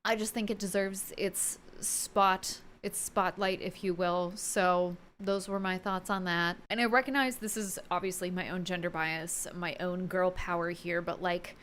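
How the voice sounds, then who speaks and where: A woman's voice: on the low side, fairly even intonation, measured pace, few pauses, fairly bright tone, a little hiss or hum. Chatty, enthusiastic woman vlogger. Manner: chatty, enthusiastic, informal slang. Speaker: wrestling fan vlogger, host. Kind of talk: casual opinion vlog to viewers.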